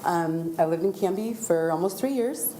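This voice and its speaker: A woman with a loud voice giving public comment to a city council, with some hesitant fillers. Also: on the low side, animated, moderate pace, reverberant, slight background noise.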